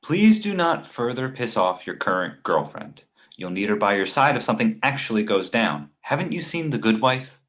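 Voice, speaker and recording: A man's voice: mid-range voice, very animated, normal speed, fairly bright tone. A man playing a nervous, nagging confidant who argues and worries aloud in a screenplay table read. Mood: cautioning. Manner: argumentative, worrying aloud. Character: Victor, nervous nagging male confidant of a writer.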